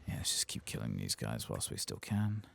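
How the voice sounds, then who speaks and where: A soft-spoken man with an extremely deep voice full of fry murmurs his way through a game playthrough in ASMR style. His pitch moves around in a lively way, and keys click over faint noise. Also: normal speed. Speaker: game streamer. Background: keyboard typing and clicking.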